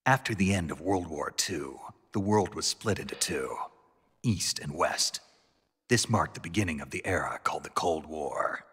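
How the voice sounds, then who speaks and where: A male actor voicing a grave narrator of war history in a scripted cinematic game prologue, with a husky voice. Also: extremely low pitch, lively intonation, moderate pace, fairly bright tone, heavily acted. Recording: long cavernous reverb.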